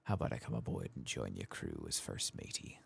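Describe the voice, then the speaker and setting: A soft-spoken man reading a hearty, rum-loving pirate admiral from game quest text in an ASMR style. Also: rumbling low, big pitch swings, fairly quick, gravelly, husky, pitched below the performer's own voice, full character voice. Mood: hearty, fond.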